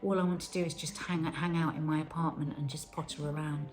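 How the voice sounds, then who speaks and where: A woman's voice: deep voice, level tone, brisk. A woman talks in a reflective, wandering way in a casual film chat. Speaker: podcast co-host.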